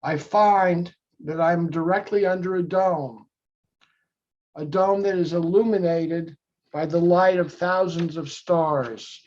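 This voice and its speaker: A man leading a guided meditation, speaking in measured short phrases with long pauses between them. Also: high-pitched, fairly even intonation, a little mellow. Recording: dull lo-fi audio.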